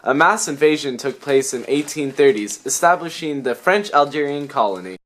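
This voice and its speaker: A man's voice: fairly high pitch, animated, normal speed, fairly bright tone. A young man narrating a scripted student history puppet show, with wry, theatrical asides. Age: young adult.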